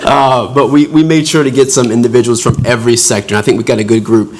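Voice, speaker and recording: A man's voice: medium pitch, fairly even intonation, fast talker, slight background noise. A man, a city official, replying at a council meeting in a hesitant, friendly way, restarting often. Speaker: city official answering public comment. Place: council chamber.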